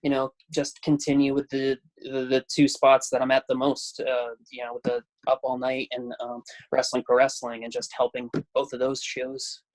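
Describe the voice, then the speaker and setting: A man's voice: mid-range voice, monotone, normal speed, few pauses, rough recording. A man in his thirties, a pro wrestler talking casually on a video call, hesitant with many fillers and self-deprecating laughs. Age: 30s. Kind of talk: casual chat.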